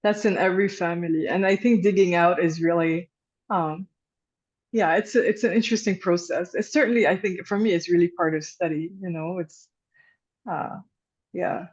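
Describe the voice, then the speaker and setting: A woman's voice: lowish voice, steady tone, normal speed, a little mellow, highs cut off. A woman giving an informal guest lecture and leading discussion, talking conversationally with fillers and restarts.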